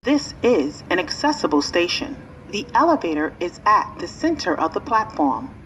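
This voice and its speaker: A woman reading recorded subway announcements in a calm, clear, informational manner. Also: on the low side, moderate pace, a little hiss or hum. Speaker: transit announcer. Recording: slightly muffled, faint ambience.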